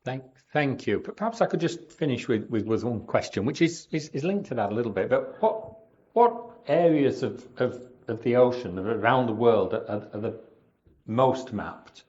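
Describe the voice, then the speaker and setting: Male moderator at a public lecture, mid-pitched, halting and repetitive. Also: expressive, a little mellow, dull audio. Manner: stammering, repeats words. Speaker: event chair.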